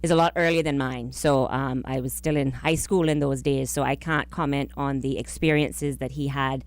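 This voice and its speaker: A woman's voice: rumbling low, steady tone. A woman, speaking formally and carefully as a political guest, close on a studio microphone. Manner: formal, careful, halting phrasing. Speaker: government minister, studio guest. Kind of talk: political radio interview. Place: small radio studio. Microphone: close broadcast microphone on boom arm.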